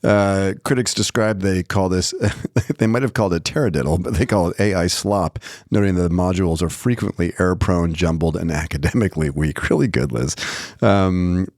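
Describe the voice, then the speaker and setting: A man's voice: low-pitched, moderate pace, a little mellow, no room sound, clean studio sound. A man co-hosting a podcast, chatting casually about the news with jokey asides. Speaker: podcast co-host.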